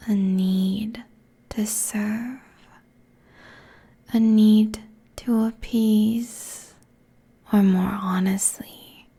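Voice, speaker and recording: A woman's voice: mid-range voice, dark tone, exaggerated voice. A woman playing a seductive, cruel succubus queen in an intimate ASMR roleplay, whispering haughtily close to a binaural microphone. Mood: amused, cruel. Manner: breathy, slow, condescending whispering.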